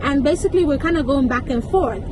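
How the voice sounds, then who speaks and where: A young woman with a high-pitched voice tells a casual story, emphatic and indignant, slipping into slangy asides and acted-out dialogue. She is recorded with clear background noise, like outdoor or vehicle sound. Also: fast. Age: young adult. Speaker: vlogger. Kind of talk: casual storytime, acting out conversations.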